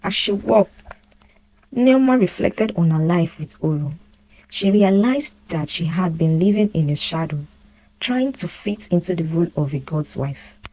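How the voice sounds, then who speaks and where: A woman storyteller with a low-pitched, soft and mellow voice. She narrates a scripted folktale. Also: animated, a little slow, low-quality recording.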